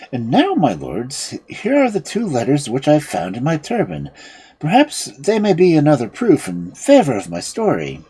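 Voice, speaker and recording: A storyteller voicing an earnest young man who recounts his misfortunes in formal, animated fairy tale speech. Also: mid-range voice, vocal fry, slightly rough, full character voice, poor audio.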